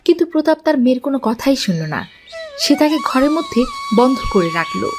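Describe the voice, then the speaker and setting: A woman's voice: high-pitched, big pitch swings, a little fast, music in the background. A woman narrating a dramatic fairy tale for a cartoon in a scripted storytelling style.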